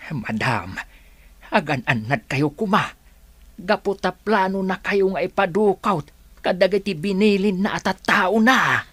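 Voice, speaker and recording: Timid, loyal older Ilocano servant played by a man; slow, hesitant stammer, dry close recording, radio play. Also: a little high, big pitch swings, a bit bright, no room sound, full character voice. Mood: earnest, warning. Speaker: actor in radio drama. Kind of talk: Ilocano radio drama acting.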